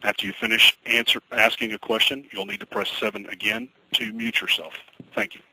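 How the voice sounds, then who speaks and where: A man speaking as a company executive, answering questions on an investor conference call in a measured, formal, guarded way. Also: fairly low pitch, big pitch swings, bright, forceful tone. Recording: noisy conference call recording.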